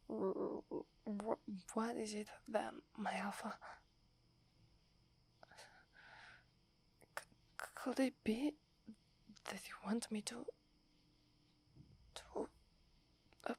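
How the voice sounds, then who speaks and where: A woman with an Italian accent playing a shy, devoted young werewolf in a breathy, hesitant ASMR whisper. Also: mid-range voice, heavily acted. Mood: flustered, apologetic. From Italy. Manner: hesitant, halting, deferential. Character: devoted, shy young female werewolf serving her alpha.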